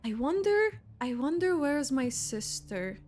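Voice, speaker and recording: A woman game streamer giving excitable, halting reaction commentary as she plays. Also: high-pitched, soft background music. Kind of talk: live gameplay reaction commentary.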